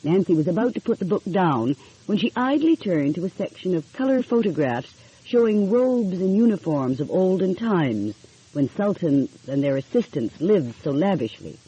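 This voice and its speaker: A woman narrating an audiobook in an expressive storytelling style. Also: on the low side, moderate pace, slightly rough. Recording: dull, lo-fi audio.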